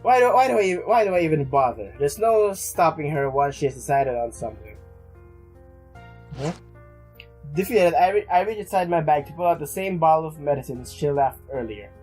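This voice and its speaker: A woman's voice: low-pitched, expressive, normal speed, darkish tone, soft background music. A woman hosting a let's play, reading game text aloud and interjecting casually, often repeating words as she goes.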